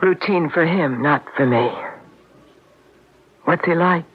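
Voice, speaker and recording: A woman's voice: low voice, slow, slightly rough, heavily acted. An actress in a radio drama playing a grieving, sardonic woman comedian with a wry, wounded delivery. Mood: bitter, wry. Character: grieving woman comedian, brittle, sardonic, tender to her dog. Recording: muffled old radio broadcast, faint noise.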